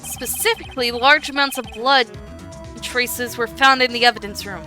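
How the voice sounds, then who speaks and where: Woman doing a dramatic defense attorney guy from a court game, emphatic, highish voice, game music going. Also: moderate pace, sharp tone. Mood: determined. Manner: emphatic, declamatory. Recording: music playing behind voice.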